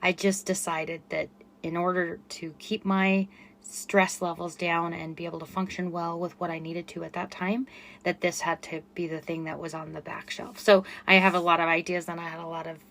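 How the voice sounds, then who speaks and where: Quiet-voiced woman chatting on her podcast. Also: fairly low pitch, flat delivery, normal speed, slight background noise. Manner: chatty, self-effacing, frequent ums and restarts. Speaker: podcast host and running coach. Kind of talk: casual solo podcast monologue.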